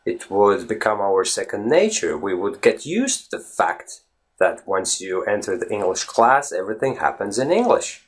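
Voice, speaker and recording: Lower-voiced man. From Latvia. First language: Latvian. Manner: emphatic, talks to viewers directly, often asks "right?". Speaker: vlog host. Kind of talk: casual solo vlog talk.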